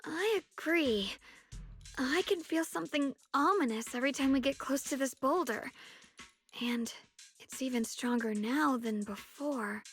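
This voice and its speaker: A woman's voice: sits high, a little slow, soft background music. An actress voicing an earnest, determined young woman adventurer in an English video game dub. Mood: worried, urgent.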